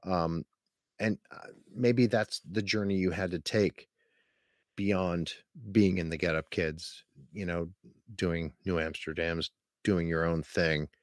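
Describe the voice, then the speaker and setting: Male podcast host, deep and dry-miked, earnest and rambling. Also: fairly even intonation, takes it slowly, no room sound. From Pennsylvania. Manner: rambling, earnest, many restarts. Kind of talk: casual interview.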